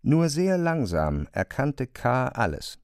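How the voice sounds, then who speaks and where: Male narrator with very lively intonation. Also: mid-range voice, takes it slowly, clean tone, dry recording, clean studio sound. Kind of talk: scripted literary narration in a radio play.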